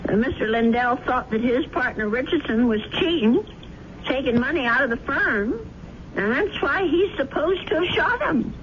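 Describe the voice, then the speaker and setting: A woman's voice: high-pitched, expressive, moderate pace. An actress playing a fretful, motherly and stubborn elderly landlady in a chatty, earnest radio drama style. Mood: thoughtful. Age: older. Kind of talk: 1970s radio drama acting. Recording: noisy, muffled old radio broadcast.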